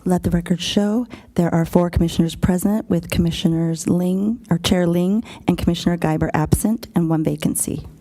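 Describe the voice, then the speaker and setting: A woman formally announcing attendance at a city commission meeting in a crisp, official manner. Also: on the low side, steady tone, moderate pace, few pauses, warm tone.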